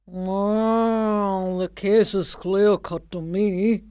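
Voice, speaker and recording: A woman voicing a weary old ox in a storybook tale, speaking low, slow and heavy. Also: fairly even intonation, warm tone, smooth, dry recording.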